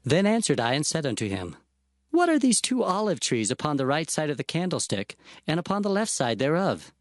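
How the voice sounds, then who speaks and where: Animated, awed prophet narrating scripture. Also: male voice, on the high side, a little fast, no echo, studio quality. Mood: awed, wondering. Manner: expressive, reverent. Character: earnest, awed male prophet recounting his visions. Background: faint music.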